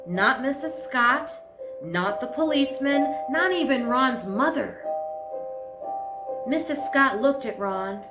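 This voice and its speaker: A woman narrating a children's story as a storytime host, in a measured, expressive read-aloud manner. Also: high voice. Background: prominent piano music score.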